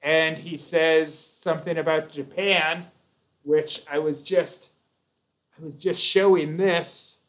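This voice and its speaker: A talkative man hosting a live stream, reading viewer questions and answering at length. His voice sits a touch high for a man. He jokes, corrects himself mid-sentence, and keeps things casual. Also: fairly bright tone. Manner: chatty, rambling, self-correcting, jokey.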